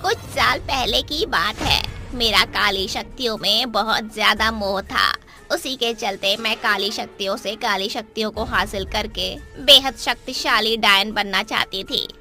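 A woman's voice: on the high side, measured pace, pushed and bright, soft background music, heavily acted. A woman voicing a cruel, cackling female witch in a cartoon horror story. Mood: confessing. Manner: taunting, cackling. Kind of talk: Hindi cartoon voice acting.

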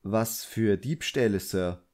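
A man's voice: fairly low pitch, slow, darkish tone. Casting: shy boy wizard's apprentice for an audiobook, polite, hesitant, deferential, read by a man. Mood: timid. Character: timid, polite young boy sorcerer's apprentice.

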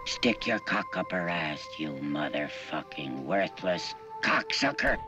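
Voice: extremely low pitch, lively intonation, slow pace, music in the background, exaggerated voice. A performer voicing a vicious possessed little girl with a growling demonic voice.

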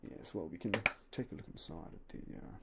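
A young man whispering softly and politely as a game shop clerk in an ASMR roleplay, close to a binaural mic. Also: low voice, fairly quick, gravelly, slight background noise. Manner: quiet, whispery, polite shopkeeper patter.

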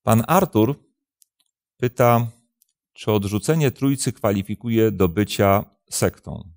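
A man in his fifties, a pastor hosting a programme, answering religious questions in a measured, deliberate way with hesitant pauses. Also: on the low side, expressive, creaky, a little mellow, no echo, clean studio sound. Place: indoor studio, seated at a desk.

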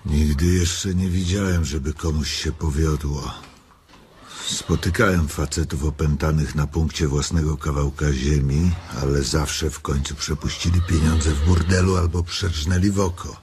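A lonely, world-weary farm labourer in a radio play. His voice is low and his manner dry and doubting, with noise clearly present in the recording. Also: steady tone, normal speed, a little mellow. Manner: dry, sceptical. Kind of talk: radio drama acting.

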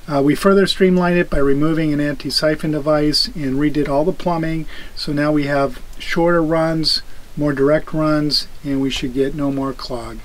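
A man's voice: a little high, slight background noise, rough recording. A middle-aged man in a cramped sailboat cabin explains plumbing repairs in a matter-of-fact way, with many ums. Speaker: sailing vlogger. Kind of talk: casual explanation of boat repairs. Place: inside a small sailboat cabin.

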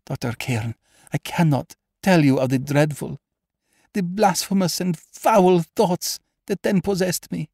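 A man voicing a terrified, haunted aristocratic lady in a tremulous, breathy, dramatic manner for a storybook. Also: a little high, a little slow, a little mellow, dry recording, clean studio sound, pitched above the performer's own voice. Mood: frenzied dread.

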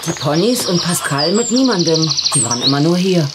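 A woman's voice: low voice, lively intonation, brisk, very noisy. An actress playing a sensible, concerned woman who runs a horse farm in an audio drama, practical and composed. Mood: reassuring. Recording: loud stable noises and birds behind.

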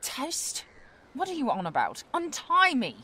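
A woman acting a high-pitched, fierce, commanding woman. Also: wildly swooping pitch, unhurried, exaggerated voice. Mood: indignant. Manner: forceful, commanding. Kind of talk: audio drama acting.

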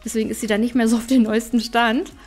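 A woman's voice: fairly high pitch, little pitch movement, fast talker, darkish tone. A woman gaming YouTuber giving casual, chatty let's play commentary, thinking aloud as she plays. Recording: music behind the voice.

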